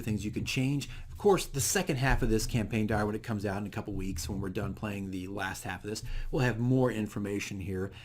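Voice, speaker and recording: A man's voice: mid-range voice, darkish tone. A man hosting a casual, unscripted video diary as a dungeon master, explaining things in a rambling, self-correcting way. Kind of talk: casual unscripted game session recap.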